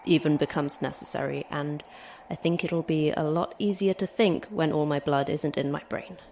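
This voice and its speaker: A woman's voice: low voice, steady tone, moderate pace, creaky, a little mellow, slight background noise. A woman playing an injured, stubborn fighter in an audio drama, terse, dry and defiant. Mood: dry, strained. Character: injured, concussed, stubborn female fighter trapped by a monster.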